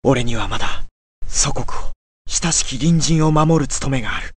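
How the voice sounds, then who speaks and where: An actor playing an earnest young swordsman devoted to his homeland in a fighting game, husky-voiced, solemn and dramatic. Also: mid-range voice, expressive, measured pace. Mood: solemn, determined.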